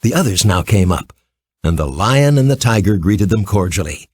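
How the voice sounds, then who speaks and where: A male storybook narrator with a very animated pitch range. His voice is a little husky and is recorded dry and close. He reads scripted children's prose expressively and theatrically. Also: normal speed, no echo.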